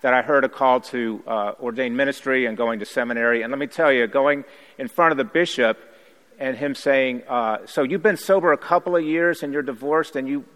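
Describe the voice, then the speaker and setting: A man who is a priest and pastor, whose first language is Haitian Creole, giving an earnest, reflective personal testimony in a measured way. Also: medium pitch, fairly bright tone. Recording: reverberant hall.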